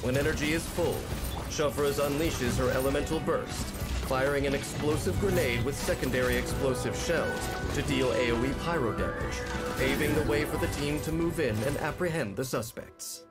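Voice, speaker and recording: A man reading a scripted explainer about a game character in a polished, measured delivery. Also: medium pitch. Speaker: video narrator. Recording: loud music behind voice. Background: game trailer music.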